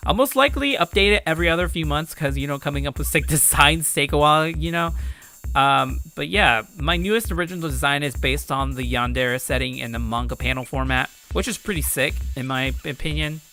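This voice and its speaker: A man's voice: on the high side, brisk, bright, forceful tone. A man giving casual, slangy commentary as a game developer playing through his own game. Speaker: video creator and game developer.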